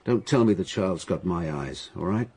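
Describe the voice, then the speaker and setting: An actor playing a sardonic, irritable writer in a radio drama, with a dry, caustic, brusque way of talking and a husky voice. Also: male voice, deep voice, animated, moderate pace, very noisy. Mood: irritable, bitter. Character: sardonic, irritable male writer, bitter about his marriage.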